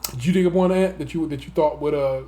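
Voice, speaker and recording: A man's voice: on the high side, quick pace, warm tone. Profane laid-back podcast host, man. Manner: loose, profane, laughing, talks in restarts. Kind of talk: casual chat.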